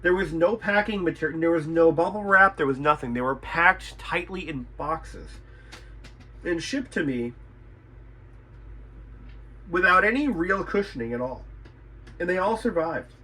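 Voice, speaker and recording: A man with a slightly high voice hosts a casual repair vlog. He talks conversationally, thinking aloud as he works, in the plain manner of a tradesman talking to viewers. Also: unhurried, long pauses, sharp tone, low-quality recording. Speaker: radio repairman and video host. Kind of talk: casual vlog chat while working.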